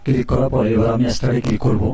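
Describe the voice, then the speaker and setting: A man hosting a step-by-step software tutorial. He keeps checking in with the viewer as he explains each click. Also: mid-range voice, racing, echoey room, far from the mic. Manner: steady instructional, frequent "ঠিক আছে?" check-ins. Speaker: tutorial host. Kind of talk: screen-recorded software tutorial.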